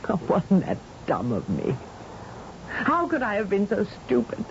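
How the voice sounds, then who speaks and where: A woman's voice: mid-range voice, huge pitch swings, measured pace, creaky, full character voice. An actress playing a distraught woman scientist and loyal wife, stammering emotionally in an old-time radio drama. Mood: anguished. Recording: muffled, noisy old radio recording.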